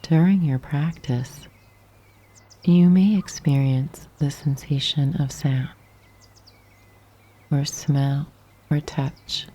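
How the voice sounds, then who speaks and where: A woman guiding a yoga nidra meditation in a soft, breathy half-whisper, gently and calmly, with long pauses. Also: deep voice. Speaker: meditation guide. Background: birdsong and nature sounds.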